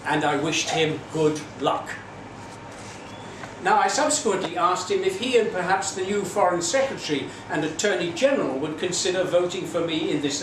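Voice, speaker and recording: A man with an English accent hosts a poetry show from a stage, his wry, formal phrasing ringing through an echoey theatre. He sits well back from the microphone, his voice a touch high for a man, with a steady hum of room noise behind him. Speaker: host and poetry candidate. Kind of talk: compering a live poetry event. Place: small theatre venue. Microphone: far from the mic. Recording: echoey hall from far off, audience noise.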